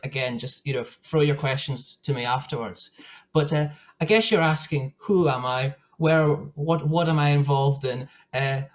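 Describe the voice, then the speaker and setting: A young man with a northeast Scottish accent giving a chatty presentation on a video call, full of fillers and restarts. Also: mid-range voice. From northeast Scotland. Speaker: guest speaker. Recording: dull lo-fi call audio.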